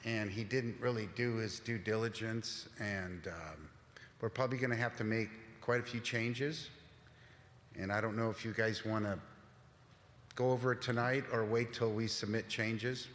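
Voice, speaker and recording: A man's voice: lowish voice, moderate pace, a bit bright. A man speaking at public comment, hesitant and apologetic, with many fillers. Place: public meeting chamber. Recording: echoey hall with faint noise.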